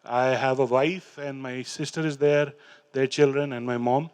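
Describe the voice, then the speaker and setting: A middle-aged man with an Indian English accent, speaking on stage into a handheld mic, telling his recovery story in an earnest, halting way. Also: medium pitch, steady tone, darkish tone, smooth. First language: Hindi or Urdu. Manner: earnest, halting, with fillers and restarts. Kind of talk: personal talk on stage, reciting poems. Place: large stage in a hall. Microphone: handheld microphone.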